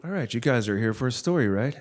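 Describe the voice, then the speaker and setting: A man's voice: medium pitch, animated, fast, background noise. A man hosting and narrating a live audio play from a theatre stage. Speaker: host and narrator. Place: theatre stage before an audience.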